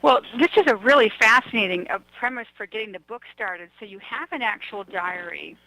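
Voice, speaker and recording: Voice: medium pitch, fairly quick, bright, forceful tone, no room sound, poor audio. An interview show host asking summarizing questions and giving brief affirming replies.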